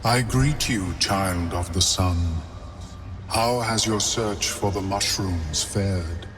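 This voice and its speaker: Game casting: courteous mind flayer alchemist, unhurried and precise, distant and echoing over faint music. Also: male voice, low-pitched. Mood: calm, courteous. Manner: measured, formal, precise diction. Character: calm, scholarly, courteous mind flayer alchemist, an otherworldly male creature. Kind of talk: video game character acting.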